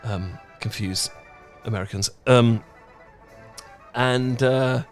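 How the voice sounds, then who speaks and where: A British man playing an awkward, flustered, dorky young man in a romantic audio roleplay, stammering, halting and apologetic as he rambles. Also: medium pitch, soft background music, close to an everyday voice. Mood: rambling, apologetic. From Britain.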